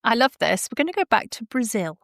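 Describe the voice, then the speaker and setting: A woman's voice: fairly high pitch, very animated, fast talker, gravelly, a bit bright, dry recording, clean studio sound. A woman hosting a podcast interview, enthusiastic and encouraging toward her guest. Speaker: podcast host.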